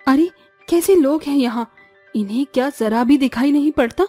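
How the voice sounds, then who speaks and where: A woman's voice: high voice, moderate pace, mellow, faint music behind, natural voice. A woman voicing a caring, principled young village animal doctor in a cartoon, earnest and firm. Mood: annoyed. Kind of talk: cartoon story voice acting.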